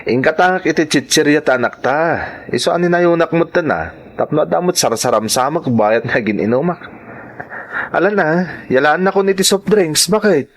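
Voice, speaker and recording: A man's voice: on the high side, expressive, moderate pace. A man acting a stubborn working-class husband in an audio drama, with an Ilocano accent and a whining, cajoling manner. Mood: stubborn, defensive. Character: stubborn working-class husband, a construction laborer fond of soft drinks.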